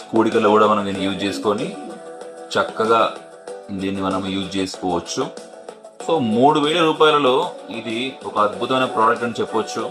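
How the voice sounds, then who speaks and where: A man narrates a casual unboxing and demo as the host, explaining in a repetitive way and mixing in English terms. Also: lowish voice. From India. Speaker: product review host.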